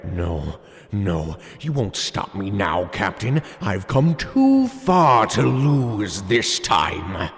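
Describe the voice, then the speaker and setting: A man's voice: fairly low pitch, wildly swooping pitch, very slow, vocal fry, full character voice. A man voicing a smug, theatrical supervillain mastermind with mock politeness in an audio superhero comedy. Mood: disbelieving, defiant. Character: gloating comic-book supervillain mastermind, male, smug and theatrical. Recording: reverberant hall sound with music.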